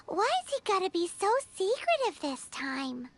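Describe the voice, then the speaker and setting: A woman voicing a tiny, childlike fairy companion in a video game, squeaky, expressive and inquisitive. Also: moderate pace, exaggerated voice. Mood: curious, pouty. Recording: game music behind.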